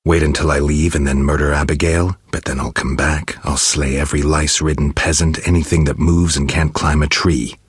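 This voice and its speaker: A stoic mercenary monster hunter from a fantasy video game, with an extremely low, heavily rasping voice. He is terse and sardonic, delivering everything in a dry deadpan. The sound is close and dry. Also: fairly quick, few pauses, no room sound, heavily acted. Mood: stern, dry. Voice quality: gravelly, husky rasp. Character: stoic, sardonic monster-hunting mercenary warrior, gruff adult man.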